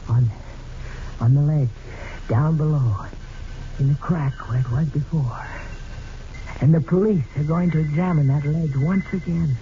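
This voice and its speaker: An actor playing a bitter man who accuses the woman he loved, measured and deliberate, in a 1940s radio drama. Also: on the high side, mellow. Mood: quietly accusing. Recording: dull old radio recording, faint noise.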